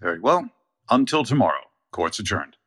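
An actor playing a cautious, pompous judge in a courtroom radio play, halting and formal. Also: male voice, fairly low pitch, very animated, a bit bright, slightly rough, heavily acted. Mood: official.

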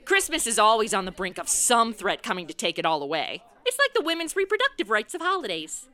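A woman's voice: a little high, very animated, fairly quick, crowd noise, voice pushed down. A woman playing a sarcastic, blunt, wisecracking young babysitter in a comedic holiday radio play. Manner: sarcastic, wry.

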